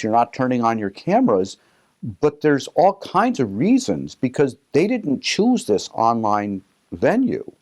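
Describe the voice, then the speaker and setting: Man, teacher, animated pitch movement, medium register, explanatory talk. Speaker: teacher, faculty member.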